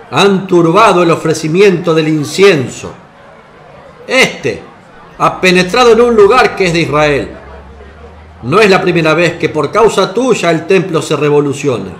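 An actor playing a stern, haughty temple official in a radio drama. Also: male voice, on the high side, slight background noise.

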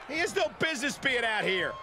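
A man voicing an excitable, dramatic play-by-play wrestling announcer in a video game. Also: very high-pitched, a little fast, over music, full character voice. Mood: excited. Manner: dramatic, exclamatory. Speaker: play-by-play commentator. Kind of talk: sports commentary in a video game. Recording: loud arena crowd behind commentary.

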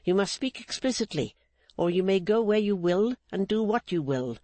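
A man's voice: high voice, animated, a little mellow, pitched above the performer's own voice. A man putting on a stern, unyielding older woman. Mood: defiant. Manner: firm, measured, defiant.

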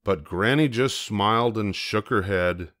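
An older man narrating a children's story expressively, with deliberate pauses for effect. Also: fairly low pitch, fairly bright tone, no room sound.

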